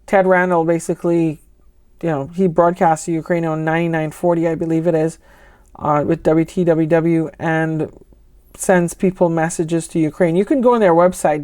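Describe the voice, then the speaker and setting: A woman's voice: deep voice, fairly even intonation, normal speed, dark tone. A woman from the United States giving a casual, unscripted product review as a vlogger, conversational and explanatory, with trailing asides.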